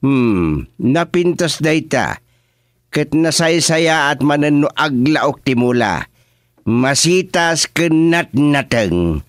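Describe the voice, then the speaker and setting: A man's voice: on the high side, animated, slow pace, dry recording, studio quality. An actor playing a plain-spoken, easily exasperated village chairman in a rural comedy radio drama. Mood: agreeable.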